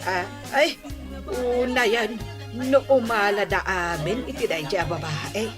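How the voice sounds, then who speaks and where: A woman's voice: mid-range voice, slow, over music, close to an everyday voice. A woman playing a sour, disapproving middle-aged mother-in-law in a radio drama, grumbling and exclaiming with an Ilocano Filipino accent. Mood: disdainful. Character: sour, disapproving mother-in-law gossiping about her son's bride. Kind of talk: Philippine radio drama acting.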